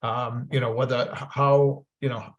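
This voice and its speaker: A man's voice: mid-range voice, flat delivery, unhurried, muffled recording. A man from the Boston area with a Boston accent, hosting a webinar over a video call in a rambling, jokey way with many restarts. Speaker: webinar host. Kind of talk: casual moderated webinar discussion.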